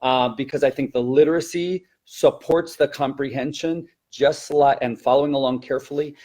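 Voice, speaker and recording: A man's voice: mid-range voice, steady tone, normal speed, mellow. A man who teaches elementary Spanish, giving an explanatory teacher-training talk in a hesitant, self-correcting way.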